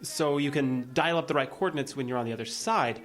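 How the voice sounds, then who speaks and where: A man's voice: mid-range voice, a little fast, a bit bright, faint music behind, close to an everyday voice. An actor playing an earnest, cautious young man in a resistance group, in an audio drama. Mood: curious, keen.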